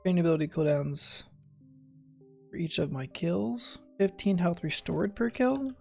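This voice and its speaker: Creaky-voiced man giving wry game commentary. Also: high voice, lively intonation, moderate pace, a little mellow. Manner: wry, sarcastic. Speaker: gamer streaming a playthrough. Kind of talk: casual game commentary, muttering and reading stats aloud. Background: game music.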